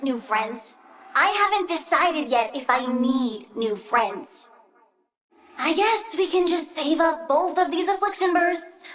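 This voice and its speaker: Very high, childlike plant-girl villain. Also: fairly bright tone, distant mic, slight background noise, full character voice. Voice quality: girlish, childlike. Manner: pouty, cutesy, gleefully vengeful, singsong. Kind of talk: video game character acting.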